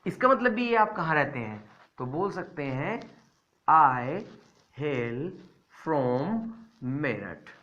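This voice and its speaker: A male teacher giving a grammar lesson to learners. He talks slowly and deliberately, repeating each example sentence word by word. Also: fairly high pitch, big pitch swings, sharp tone, lo-fi sound. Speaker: English teacher.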